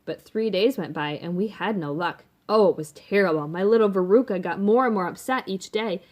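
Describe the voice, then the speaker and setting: A woman's voice: mid-range voice, brisk, a little mellow, close to an everyday voice. Storybook doting dad read by a woman: rich businessman, boastful, folksy, emphatic delivery. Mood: excited, doting.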